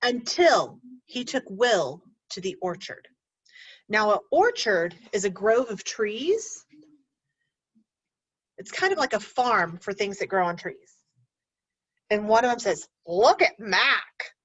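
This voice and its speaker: A woman teacher reading a picture book aloud to children, pausing to explain words and ask gentle questions. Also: mid-range voice, very animated, slightly rough, no echo, dull audio.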